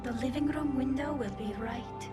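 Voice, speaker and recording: A woman playing a gentle, wistful young alien exile in a video game, speaking softly and reflectively. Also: high-pitched, moderate pace, natural voice. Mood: wistful, awed. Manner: soft, wondering, reflective. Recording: clear game music underneath.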